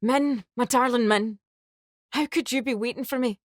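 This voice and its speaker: A woman voicing an eager, loving young male soldier, loud and excited. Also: fairly high pitch, moderate pace, no echo, clean studio sound, voice pushed up, lightly acted.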